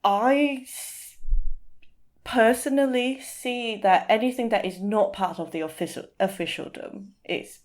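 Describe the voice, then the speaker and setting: A woman's voice: on the high side, unhurried. A woman speaking as a guest in a casual discussion, often rephrasing as she searches for the right words. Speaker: podcast guest, literary translator.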